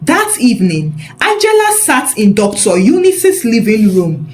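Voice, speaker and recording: A woman narrating a scripted story in a measured, expressive, dramatic way. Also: a little high.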